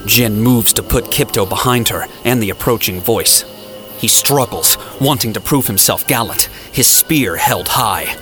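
A man's voice: fairly low pitch, measured pace, over music. A man narrating an audio drama in a scripted, dramatic storytelling style.

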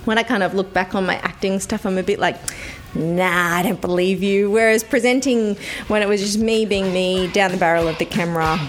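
A woman's voice: mid-range voice, brisk, few pauses, over music. A woman in her thirties with a young-sounding voice, chatting animatedly as an interview guest and breaking into playful quoted voices. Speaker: children's entertainer guest. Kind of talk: casual interview chat.

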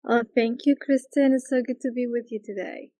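A woman from Peru, a yoga teacher, answering interview questions slowly and with many pauses and fillers. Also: a little high, fairly even intonation, warm tone, dry recording. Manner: hesitant, searching for words, many fillers.

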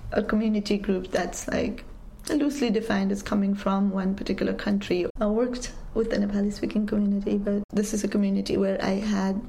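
Woman interviewee, dark gravelly tone, calm and reflective. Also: mid-range voice, rough recording. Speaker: interviewee, community worker.

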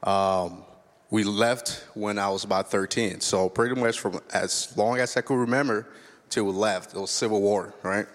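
Deep-voiced man giving an earnest testimony. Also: steady tone, moderate pace. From Liberia. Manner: earnest, candid and reflective. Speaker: guest speaker sharing testimony. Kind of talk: personal testimony on stage. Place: church stage. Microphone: stage microphone. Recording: long reverb of a large hall.

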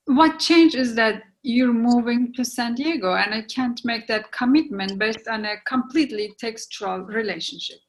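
A woman's voice: on the high side, normal speed, few pauses, a little hiss or hum, natural voice. A woman playing a guarded, apologetic young woman who is torn and hesitant, in a readers theatre reading. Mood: uneasy. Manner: hesitant, regretful.

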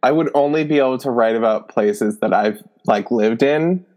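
A man from New Jersey with a New Jersey accent, chatting casually in a blunt, sarcastic way with halting restarts. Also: mid-range voice, a little mellow. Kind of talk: casual podcast chat.

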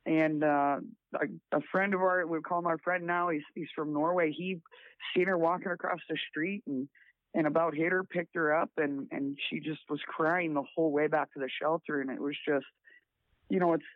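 A raspy man's voice comes down a narrow, tinny phone line. He sits a little high in pitch and talks haltingly, restarting often. The sound is dry and close. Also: fairly even intonation, dry recording. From South Dakota. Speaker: guest volunteer. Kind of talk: casual phone interview.

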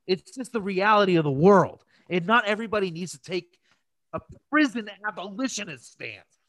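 A man's voice: high voice, animated, moderate pace, a bit bright, no room sound. A man hosting a casual podcast, ranting in an exasperated, opinionated and profane way. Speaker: podcast host.

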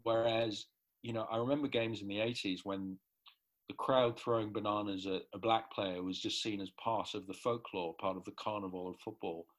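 A man's voice: on the low side, steady tone, normal speed, poor audio. A middle-aged man giving an articulate, analytical explanation as an interview guest. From grew up in the Netherlands. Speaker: interview guest, football writer.

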